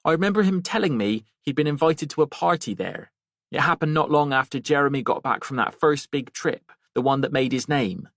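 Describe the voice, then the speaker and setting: A man's voice: a little high, animated, fairly quick, a bit bright, no room sound, clean studio sound, pitched above the performer's own voice. A man voicing a talkative, rambling working-class woman gossiping in an audiobook. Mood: fond, gossipy. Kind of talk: audiobook character voice.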